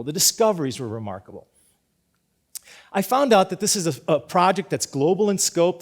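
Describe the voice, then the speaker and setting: A middle-aged man from Cuba, a teacher, giving an earnest prepared talk in a storytelling manner, with frequent restarts and repeated words. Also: a little high.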